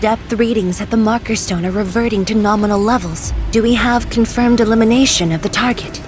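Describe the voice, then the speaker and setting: A woman playing a composed, authoritative commander with formal, measured wording in a sci-fi game. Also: a little high, slight background noise, close to an everyday voice. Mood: composed, grave. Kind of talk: dramatic game voice acting. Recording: loud music behind the voice.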